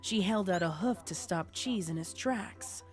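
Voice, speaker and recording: A woman's voice: medium pitch, a little slow, soft background music. A woman narrating a scripted story as an audio drama narrator.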